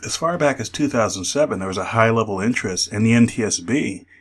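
A man speaking as an expert guest in a radio interview, explaining in a measured, careful way. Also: on the low side, husky.

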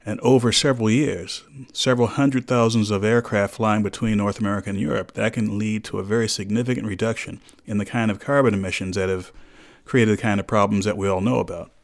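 A man with a fairly low voice speaks as an expert guest on a radio interview. He explains things in a measured, precise way, with formal, carefully built phrasing. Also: steady tone, vocal fry.

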